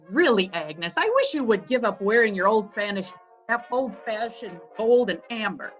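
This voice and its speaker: A woman's voice: medium pitch, lively intonation, unhurried, dull audio, faint music behind, lightly acted. A woman playing a vain, proud, wealthy society dowager of seventy, haughty and imperious, reading in a readers theatre play.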